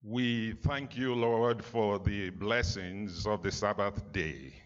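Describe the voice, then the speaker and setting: Slow, low-voiced man leading prayer. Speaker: congregational prayer leader. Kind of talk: spoken closing prayer. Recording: dull, muffled sound.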